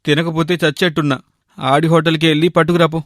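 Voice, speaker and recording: A man's voice: fairly high pitch, level tone, fast, a bit bright, no room sound, clean studio sound, close to an everyday voice. A man playing a food-loving young husband and shopkeeper, grumbling yet tactful, in a cartoon moral story. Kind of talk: animated moral story dialogue.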